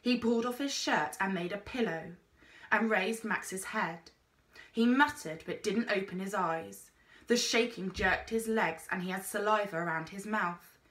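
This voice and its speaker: A woman reading a children's story aloud in an expressive narrating style, like a teacher. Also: medium pitch, a little slow, echoey room.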